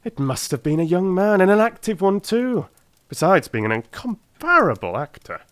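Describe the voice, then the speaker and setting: Man acting keen male detective, raised pitch, confident, exclamatory, theatrical audiobook delivery, dry recording. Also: big pitch swings, moderate pace, dry recording. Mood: sharp, indignant. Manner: emphatic, exclamatory, commanding. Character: sharp, confident male detective, excitable, self-assured, vexed at being fooled.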